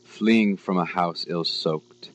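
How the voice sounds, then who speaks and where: Very deep-voiced man reading horror. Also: slow, a little hiss or hum. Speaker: story reader. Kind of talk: scripted horror story narration.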